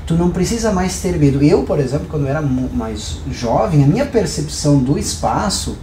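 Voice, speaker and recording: A man's voice: on the high side, animated, brisk, warm tone. A man with a southern Brazilian accent in a podcast conversation, explaining things through examples and rhetorical questions. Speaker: podcast guest, hair professional. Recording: roomy echo, clear background noise.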